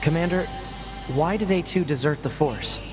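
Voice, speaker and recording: A man's voice: a little high, moderate pace, natural voice. A man voicing a curious, earnest, youthful military android in a video game. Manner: earnest, inquisitive. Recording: music behind.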